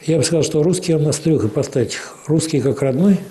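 Mellow-voiced man giving measured interview answers. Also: fairly high pitch, a little hiss or hum. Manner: measured explaining with frequent hesitations. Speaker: interview guest, cultural organization official.

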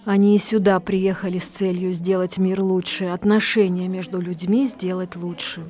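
A woman reporter voices scripted news narration in a measured manner. Her voice sits fairly low and stays flat, with little rise and fall.

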